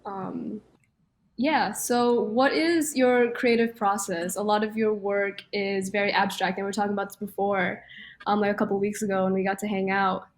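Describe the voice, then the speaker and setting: A woman's voice: mid-range voice, fairly even intonation, normal speed. A woman co-hosting an interview, friendly and chatty, on a video call. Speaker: co-host. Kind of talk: casual interview questions.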